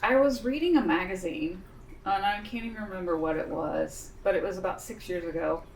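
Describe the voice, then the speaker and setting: Low-voiced speaker in their 50s, gravelly, reflective, mic a bit far in a roomy space. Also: female voice, fairly quick, slight background noise. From Missouri, USA. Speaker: interviewee. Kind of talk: documentary interview.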